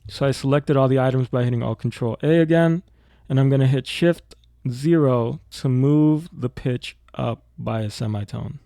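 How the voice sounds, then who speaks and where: Mellow, gravelly man giving an audio tutorial. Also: medium pitch, moderate pace, no room sound, clean studio sound. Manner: explanatory, thinking aloud while working. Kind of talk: informal software tutorial narration.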